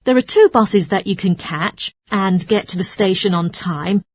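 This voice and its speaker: A woman's voice: mid-range voice, a little slow, natural voice. A woman playing a courteous, helpful transport information clerk in a scripted language-test dialogue.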